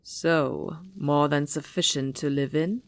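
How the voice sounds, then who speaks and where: A woman's voice: sits low, fairly even intonation, slow pace, dry recording. A woman playing a cool, pragmatic villain in a fantasy audio drama. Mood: matter-of-fact.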